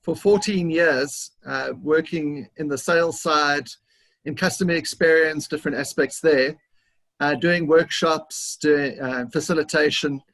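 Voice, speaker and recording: South African man, upbeat talker, slightly high pitch, video-call mic. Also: measured pace, fairly bright tone. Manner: enthusiastic, talkative, with fillers and laughs. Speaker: guest consultant. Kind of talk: interview over video call.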